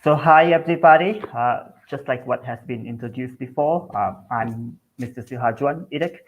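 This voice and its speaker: A man's voice: medium pitch, normal speed, lo-fi sound. A man from Sabah, Malaysia, an English teacher giving a friendly webinar talk over a video call. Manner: friendly, plain presenting with small restarts. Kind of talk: online webinar presentation.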